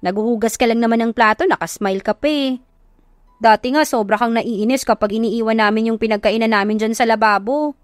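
A woman voicing a teasing, giddy younger sister in an audio romance, excitable and nagging, with a Filipino accent. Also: fairly high pitch, fairly quick, dry recording, clean studio sound, soft background music, close to an everyday voice.

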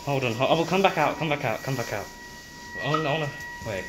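A young man vlogs casually on a handheld phone indoors. His voice sits at an ordinary pitch, with loud noise and clicks around it. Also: animated, a little fast. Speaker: amateur lift vlogger. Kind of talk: casual running commentary to camera. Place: lifts and lobby inside a public building.

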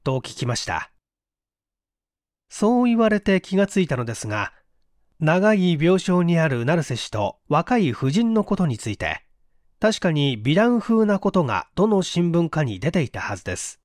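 A man narrating a mystery story as an audiobook, in a measured, literary and dramatic storytelling manner. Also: fairly high pitch, sing-song, darkish tone, dry recording, clean studio sound. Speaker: audiobook reader, stage actor.

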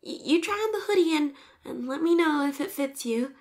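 A woman's voice: sits high, animated, fairly quick. A woman voicing a shy, flustered popular schoolgirl with a crush in a romantic roleplay, sweet but insistent, with bashful stammers and whispered asides. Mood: bashful, hopeful.

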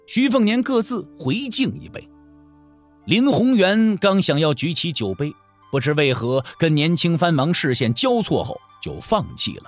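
A man narrates a wuxia tale in a fairly high voice that moves widely in pitch. Music plays under his scripted storytelling. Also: sing-song, moderate pace. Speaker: narrator of an audio drama.

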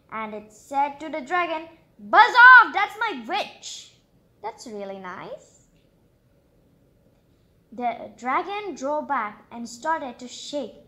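A woman reads a picture book aloud in a very high, lively voice that swings up and down. She is excitable and exclaims often, dropping in chatty, childlike asides as she hosts. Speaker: storybook reader and channel host.

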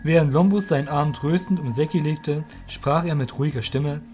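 A man narrating a scripted audio drama as storyteller, in a measured, literary reading manner. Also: on the high side, fairly even intonation, a little mellow, faint music behind.

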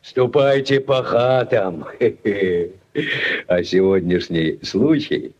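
An actor playing a pompous, gruff old village headman in a 1940s radio play, blustering and repeating himself. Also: lowish voice, extremely slow, a little mellow, dull audio. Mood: gruff, expansive. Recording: vintage 1940s radio recording.

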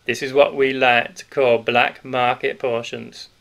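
A man's voice: medium pitch, flat delivery, a little slow, creaky, a bit bright. A man voicing a stout, sly, cheerful male wartime grocer with a Yorkshire accent, in a knowing, conspiratorial manner. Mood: jovial.

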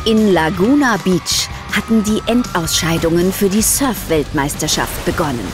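Low-pitched woman storybook narrator. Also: lively intonation, moderate pace, over music. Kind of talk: scripted storybook narration for children.

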